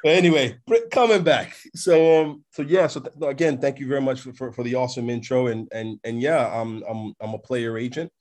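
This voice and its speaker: A man, a podcast guest telling long stories in a relaxed interview. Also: medium pitch, a little fast, hardly any pauses. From North America. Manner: expansive storyteller, checks in with "right?". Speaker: podcast guest, sports agent. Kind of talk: casual interview chat.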